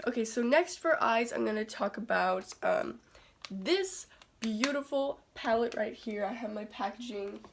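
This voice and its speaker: Congested, raspy teenage girl vlogging. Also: mid-range voice. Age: teens. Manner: chatty, rambling, heavy on "like" and "um". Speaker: beauty vlogger. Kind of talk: casual chat to camera. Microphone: phone camera.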